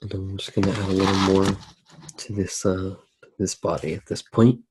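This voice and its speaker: A soft-spoken man narrating his drawing in an ASMR style, unhurried and halting, with long pauses. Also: deep voice, little pitch movement, noisy background. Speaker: ASMR artist demonstrating a drawing.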